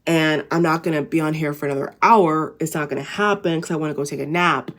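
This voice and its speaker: Low, raspy, tired woman vlogger. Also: fast. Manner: rambling, many fillers and restarts. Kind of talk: casual inspirational talk to camera.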